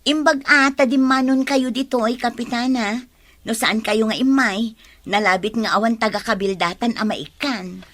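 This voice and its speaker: A woman playing a brisk, no-nonsense figure in a village comedy drama, with a Filipino accent. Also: fairly high pitch, steady tone, fairly bright tone. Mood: businesslike.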